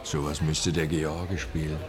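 A man's voice: extremely low pitch, level tone, measured pace. A man playing a cynical drinking companion trading gossip in a German radio drama, dry and knowing. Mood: wry, cynical. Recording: chatter of a pub crowd behind.

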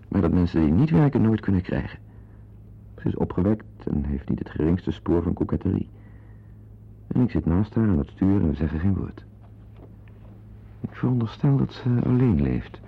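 Wry, weary playwright-narrator. Deep, creaky, intimate and digressive, on a dull radio recording. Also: male voice, full character voice. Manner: confiding, dry, digressive. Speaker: narrator-protagonist of a radio play. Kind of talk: intimate first-person radio play narration.

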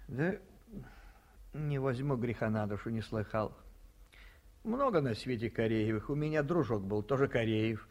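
Brief: an old small-town hotel keeper, folksy and unhurried, spinning stories and slipping into confiding whispers. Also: male voice, medium pitch, animated, darkish tone. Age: older. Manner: folksy, storytelling, drops to whispers. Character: elderly male provincial hotel keeper, folksy, talkative. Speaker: provincial hotel director. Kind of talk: 1950s radio play acting.

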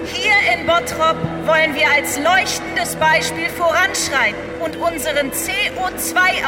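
An actress in a radio drama playing an earnest, uptight, self-important eco-minded woman mayor, declaiming a political speech. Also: very high-pitched. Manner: pompous, declamatory.

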